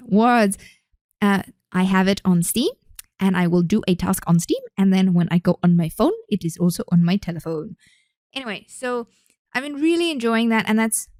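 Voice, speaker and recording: A woman's voice: medium pitch, no echo, studio quality. A woman hosting a casual solo podcast, chatting enthusiastically in a rambling way with frequent self-interrupting asides. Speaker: podcast host.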